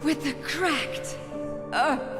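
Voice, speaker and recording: A woman playing a grieving desert mother in a video game, praying to her goddess in a solemn, dramatic way. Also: high-pitched. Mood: bitter. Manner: slow, solemn, prayerful. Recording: long reverb under prominent music.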